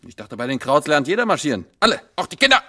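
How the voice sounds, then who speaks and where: An actress playing a brash, cheeky, ignorant local who teases and mocks playfully in a drama. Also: female voice, low-pitched, sing-song, fast talker, fairly bright tone, breathy edge, exaggerated voice. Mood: teasing. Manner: boisterous, teasing. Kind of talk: stage-style drama acting.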